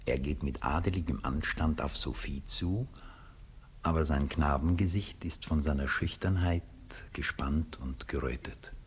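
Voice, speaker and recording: An actor serving as the calm narrator of a 1960s radio drama, reading scripted stage directions. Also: male voice, extremely low pitch, a little slow. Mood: hushed narration. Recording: 1960s radio recording, faint hiss.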